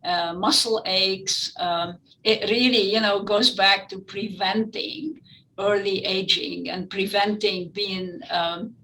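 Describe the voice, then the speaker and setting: Bright-voiced woman with French-tinged Lebanese accent. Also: mid-range voice, steady tone, takes it slowly, rough recording. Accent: Lebanese with French influence. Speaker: interview guest, physician-researcher. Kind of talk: conversational interview answers.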